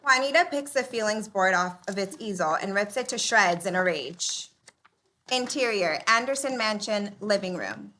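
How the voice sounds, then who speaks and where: A woman narrating stage directions at a live comedy read, in a brisk, declarative way. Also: mid-range voice, animated, pushed and bright.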